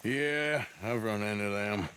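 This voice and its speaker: Slow, wry cowboy outlaw. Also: male voice, on the low side, a bit bright. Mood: dry. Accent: American. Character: dry, laconic cowboy outlaw working as temporary deputy. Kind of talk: cinematic video game acting. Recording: outdoor horse and wagon noise.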